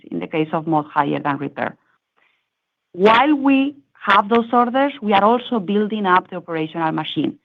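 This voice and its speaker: A woman executive giving measured, structured, formal answers on a corporate earnings call. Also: medium pitch. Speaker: company executive answering analysts. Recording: muffled lo-fi conference call audio.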